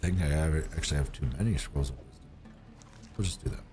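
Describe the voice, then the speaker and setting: A man's voice: very deep voice, quick pace, darkish tone, soft background music. A man streaming a game and chatting to viewers, rambling with lots of fillers and restarts while getting over a cold. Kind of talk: casual gaming livestream chat.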